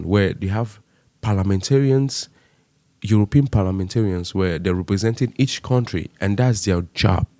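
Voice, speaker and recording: A man's voice: dark tone, no echo. A man from Cameroon giving an impassioned commentary monologue, full of rhetorical questions. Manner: impassioned, rhetorical questions, rising pitch when stressed. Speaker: vlogger.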